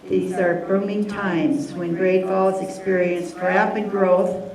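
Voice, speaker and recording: A woman's voice: fairly low pitch, steady tone, slow, crowd noise. A woman reading a prepared introduction for a guest speaker at a lecture, with a few slips. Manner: reads steadily, with a few slips. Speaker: event organizer introducing the speaker. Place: lecture hall with audience. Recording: echoey room, distant, audience noise.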